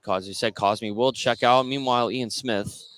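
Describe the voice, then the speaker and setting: A man's voice: mid-range voice, fairly even intonation, a little slow, fairly bright tone. A man doing live play-by-play radio commentary of a basketball game. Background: indoor basketball game, bouncing ball.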